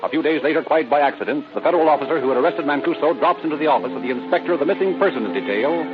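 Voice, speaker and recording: A man's voice: fairly high pitch, rapid-fire. A man narrating in a dramatic, scripted 1930s radio announcer style. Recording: dull old radio broadcast. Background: music.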